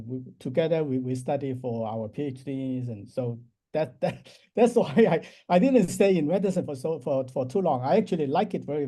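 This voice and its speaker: An older man from Hong Kong, in his sixties, speaks with a very dark, mellow voice pitched a little high for a man. He explains patiently as a professor being interviewed, often restarting his sentences. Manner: thoughtful, explanatory, frequent restarts and soft laughs. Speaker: university professor, interview guest. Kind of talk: unscripted interview answers.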